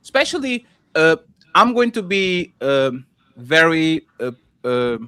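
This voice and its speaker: A man with a Russian accent hosting a casual panel, joking and teasing the guests with quick interjections. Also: on the high side, very animated, bright, forceful tone, clear, resonant tone, no echo, studio quality.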